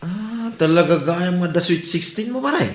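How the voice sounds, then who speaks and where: A man's voice: sits high, expressive, normal speed, roomy sound. A man playing a nosy, evasive married buddy in an Ilocano comedy drama, with an Ilocano Filipino accent.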